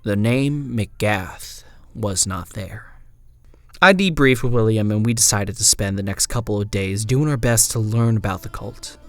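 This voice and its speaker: A man playing a wary frontier traveller, narrating a scripted horror journal in a grave, measured, dramatic way. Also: on the low side, no room sound, faint music behind, lightly acted. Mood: grave, brooding.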